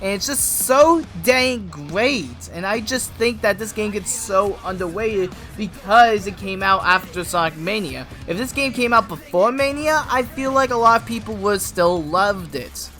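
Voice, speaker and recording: A man's voice: high voice, expressive, unhurried, few pauses, bright, forceful tone. A man giving a casual, enthusiastic and emphatic game review. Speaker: gaming video creator.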